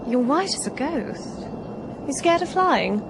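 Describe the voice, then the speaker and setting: Audio drama: female actor as nosy, talkative plane passenger, fairly high voice, very noisy cabin. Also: very animated, a little slow, creaky, full character voice. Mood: curious, friendly. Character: chatty, inquisitive female airline passenger. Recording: loud vehicle cabin noise. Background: loud airplane cabin noise.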